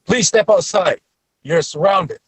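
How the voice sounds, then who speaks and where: A man's voice: sits high, a little slow. A man playing a stern officer in his early thirties at a script table read, clipped and authoritative. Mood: stern, demanding.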